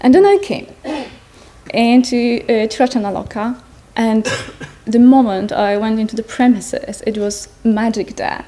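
A woman in her thirties with a Polish accent, giving an earnest personal talk to an audience in a large tent, pausing to search for words. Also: on the high side, warm tone, a little hiss or hum. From Poland. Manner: earnest, searching for words, non-native phrasing. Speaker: speaker at a Buddhist gathering. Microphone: stand mics in front of her.

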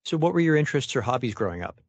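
A man's voice: mid-range voice, big pitch swings, rapid-fire, no room sound. Man hosting a podcast interview. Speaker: podcast host. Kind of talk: scripted intro then interview questions.

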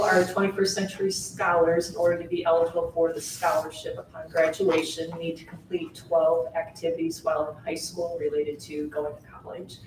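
A woman giving the assistant superintendent's report at a school board meeting, in a measured way with some fillers. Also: lowish voice, darkish tone, a little hiss or hum. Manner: measured, reads out figures with fillers. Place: large meeting room with long tables. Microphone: table mic from far off. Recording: echoey boardroom picked up from far off.

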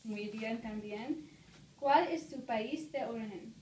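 A young woman interviewing in an office conference room, reading her questions slowly with learner's phrasing. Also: fairly high pitch, a little mellow. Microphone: far phone camera. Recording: roomy, distant, faint hiss.

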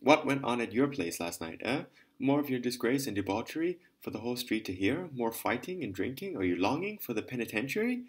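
A man's voice: mid-range voice, very animated, brisk, slightly rough. A man reading aloud voicing a hot-tempered police lieutenant who bullies and scolds at the top of his lungs. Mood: furious scolding. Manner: shouting, scolding.

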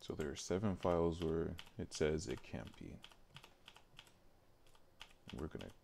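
A man thinking aloud in a low-key, grumbling way as he works on a casual livestream. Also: very animated, unhurried, gravelly, a little mellow. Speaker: streamer. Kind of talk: casual livestream muttering while working. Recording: faint background noise, keyboard clicks.

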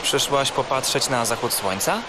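An actor playing a kind, easygoing older brother, gentle and curious, in a children's audio drama. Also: male voice, mid-range voice, normal speed, very noisy, natural voice. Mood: caring, gentle.

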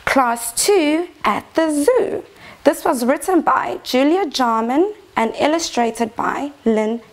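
Young lady doing kids story time, really high lively voice, asks the little ones stuff. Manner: expressive, prompting children with questions. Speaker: infant teacher reading a storybook. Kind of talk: children's story time read-aloud. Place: small decorated indoor reading corner.

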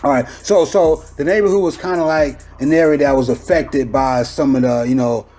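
Male podcast host, casual, higher-pitched, repetitive affirmations, remote line. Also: moderate pace, warm tone, soft background music. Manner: loose, repeats "okay, okay" and "I got you". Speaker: interview host. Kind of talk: casual interview.

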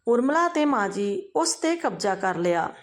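A woman reading a scripted, emotional family story in an expressive, dramatized way. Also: on the high side, measured pace.

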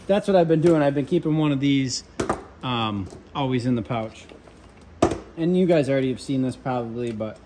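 A young man, an HVAC controls tech, chatting casually through his tool bag at a workbench, relaxed with lots of ums and asides. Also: medium pitch, slight background noise. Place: workshop with pegboard and workbench.

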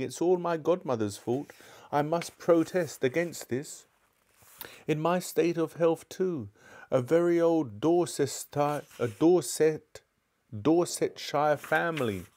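A man voicing a cowardly older English gentleman magician, trembling, fussy and full of self-pity, in a storybook reading. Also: fairly high pitch, measured pace, voice pushed up, lightly acted. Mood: wailing self-pity. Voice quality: trembling, wailing. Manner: fussy, pleading, self-pitying.

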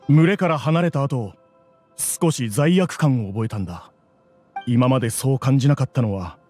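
An actor playing a reflective, regretful young student in an anime game, earnest and subdued. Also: male voice, medium pitch, lightly acted. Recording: soft music behind.

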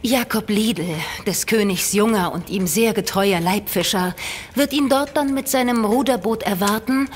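An actress playing a gracious, resolute empress in a German audio drama, speaking in a formal, measured, courtly way. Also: female voice, mid-range voice, husky, lightly acted. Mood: earnest, confiding. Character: gracious, resolute empress, secretly plotting her royal cousin's rescue.

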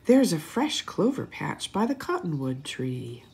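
A woman's voice: low voice, sing-song, a little slow, creaky, a little mellow, a little hiss or hum, pitched below the performer's own voice, heavily acted. A woman voicing a friendly, sociable storybook hare in a singsong manner. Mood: friendly, eager.

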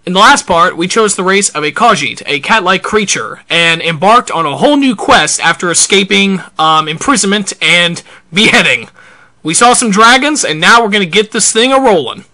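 A man co-hosting a video game show, joking casually and exclaiming excitably as he plays. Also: high-pitched, moderate pace. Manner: excitable, jokey, prone to exclaiming. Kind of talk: casual, joking gameplay commentary.

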